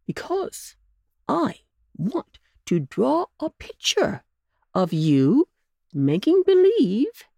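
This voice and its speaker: A woman voicing a kindly old gentleman rabbit in a storybook, speaking in a jolly, coaxing way. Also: a little high, huge pitch swings, extremely slow, creaky, no echo, clean studio sound, voice pushed up, heavily acted.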